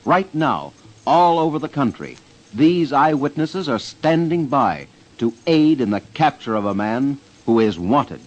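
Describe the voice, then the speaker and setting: A man with an American accent narrates like a 1940s crime radio host, grave and emphatic. His pitch swings widely for drama, and the recording is dull, like an old broadcast. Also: big pitch swings, measured pace, background noise.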